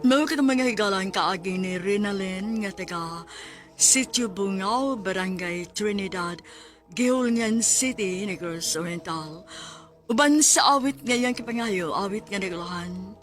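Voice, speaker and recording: Radio announcer: Cebuano Filipina, medium pitch, formal measured script reading, music bed underneath. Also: female voice.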